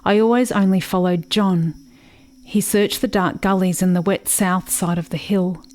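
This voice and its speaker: An actress in a radio play playing a grieving, watchful country sister, narrating in a reflective, measured way. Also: female voice, medium pitch, steady tone, darkish tone, lightly acted. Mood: wistful.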